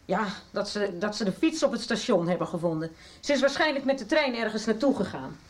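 A woman's voice: mid-range voice, animated, brisk, lightly acted. An actress in a Dutch radio soap playing a concerned woman who fusses over and scolds teenagers. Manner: fussing, concerned.

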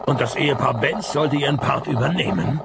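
Gravelly, deadpan private detective. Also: male voice, mid-range voice, fairly quick, heavy background noise. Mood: dry, sardonic. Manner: deadpan, laconic. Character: dry, sardonic, world-weary male private detective. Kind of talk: radio play acting with first-person narration.

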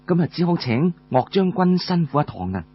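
A man with a Cantonese accent voicing a composed, careful female army commander who gives quiet, grave instructions in a told story. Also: on the high side, sing-song, brisk, breathy edge, dull audio. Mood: grave, cautioning.

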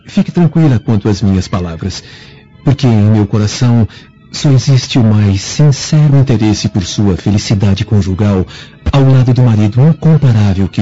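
An actor playing an earnest, flattering young freedman with formal courtesy and a scheming streak in a radio drama. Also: on the low side, husky. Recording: dull lo-fi radio drama recording.

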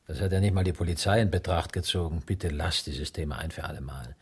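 An actor playing a weary, anxious, arrogant man drawn in as a reluctant accomplice, in naturalistic radio drama dialogue with a husky, rough voice. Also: deep voice, fast talker, heavily acted. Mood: defensive, strained.

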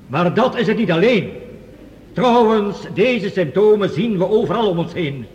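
An actor playing a grave, urgent male doctor who addresses an assembly in solemn, declamatory radio play style. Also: high voice. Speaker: official addressing delegates. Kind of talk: Dutch radio play acting, formal oratory. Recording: dull, hissy recording in long hall reverb.

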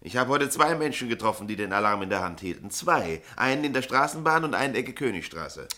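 A gruff, sarcastic veteran editor. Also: male voice, medium pitch, lively intonation, brisk, sharp tone. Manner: brusque, sarcastic, scolding. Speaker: newspaper editor standing in for the director.

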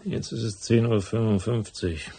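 A hard-boiled detective in a noir radio play. His voice sits low and is husky with fry. He speaks drily and sparingly, always probing. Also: steady tone, moderate pace, warm tone. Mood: cool, probing. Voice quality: gravelly, husky. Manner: dry, laconic. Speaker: first-person narrator and lead character. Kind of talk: noir radio drama acting and narration.

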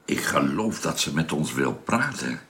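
A wry spaceship crewman in a radio play. His voice is extremely deep and gravelly. He speaks in a relaxed but animated way. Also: a little slow, full character voice. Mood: wry, reasoning. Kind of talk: radio drama acting.

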